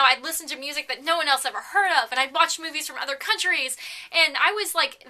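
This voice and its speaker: Loud, bright-voiced young woman vlogging. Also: sits high. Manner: naturally loud, projected voice; earnest, rambling, self-deprecating. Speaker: faith vlogger. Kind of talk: candid confessional talk to camera.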